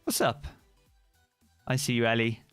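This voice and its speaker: A man's voice: medium pitch, big pitch swings, a little slow, fairly bright tone, clear, resonant tone, no echo, faint music behind. A British man streaming live, chatting casually and answering viewers' comments by name.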